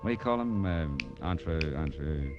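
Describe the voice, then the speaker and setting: An American actor playing a wry, world-weary private detective in an old radio drama, with slangy, clipped delivery. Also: male voice, deep voice, lively intonation, measured pace, muffled recording. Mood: sheepish, wry. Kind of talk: 1940s-50s radio detective acting, first-person narration. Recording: vintage radio broadcast with music.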